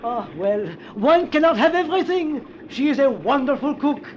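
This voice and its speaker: A man's voice: very high-pitched, expressive, normal speed, slight background noise. An actor with a French accent playing a fussy, fawning little Frenchman who chats effusively in an old radio drama. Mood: chatty. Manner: effusive, gossipy, lilting. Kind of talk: 1940s radio acting. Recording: dull old radio broadcast.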